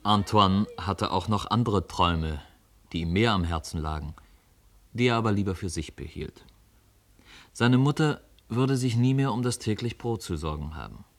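Male narrator, literary radio play: deep register, scripted storytelling.

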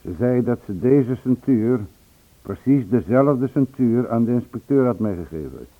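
An actor playing a deferential junior policeman, reporting deliberately and respectfully in a radio play. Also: mid-range voice, lively intonation. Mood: earnest, respectful. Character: deferential subordinate policeman reporting to his inspector. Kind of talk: Dutch radio play dialogue. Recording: tinny band-limited old radio recording.

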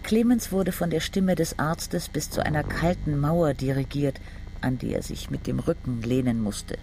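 Gravelly male narrator, higher-pitched. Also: normal speed, warm tone, a little hiss or hum. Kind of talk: literary scripted narration for radio drama.

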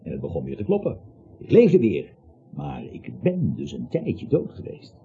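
An actor in a Dutch radio play, playing a garrulous, emphatic man with a gravelly, husky voice who swells on key words. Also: mid-range voice, wildly swooping pitch, moderate pace, heavily acted. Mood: excited, confiding. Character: garrulous, emphatic man who was once clinically dead. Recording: dull, muffled radio play recording.

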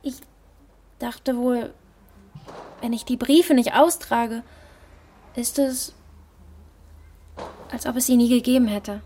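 A young postwoman with a high voice, a dreamy daughter who gets defensive. The delivery is naturalistic radio drama, spoken like everyday dialogue. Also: steady tone, takes it slowly, pauses often, mellow. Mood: hesitant, subdued. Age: young adult.

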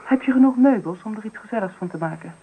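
A cool, self-possessed wife and mother in a radio play. She sounds matter-of-fact and direct, with a medium-pitched voice and firm, businesslike delivery. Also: lively intonation, fast, breathy edge, narrow, tinny audio. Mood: welcoming, practical. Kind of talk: Dutch radio drama acting. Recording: radio drama recording.

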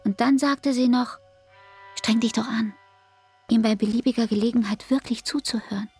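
An actress playing a hurt young barmaid in a radio play, speaking intimately and deliberately in a breathy, hushed voice. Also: female voice, on the high side, steady tone, faint music behind, lightly acted. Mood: hurt, restrained. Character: Frieda, hurt young barmaid reproaching her lover. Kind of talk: radio play acting, literary monologue.

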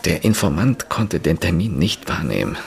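A man's voice: deep voice, sing-song, normal speed, creaky, exaggerated voice. An actor playing a male journalist in a radio play, talking urgently and confidingly about a secret. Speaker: journalist character.

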